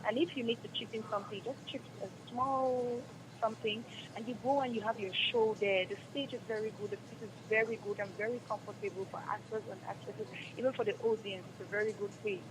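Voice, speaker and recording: A woman's voice: mid-range voice, steady tone, a little fast, background noise. A woman with a Cameroonian accent answering as an interview guest, speaking earnestly and repeating phrases for emphasis. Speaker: stage and radio drama actress, interview guest. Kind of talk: radio interview, spontaneous answers.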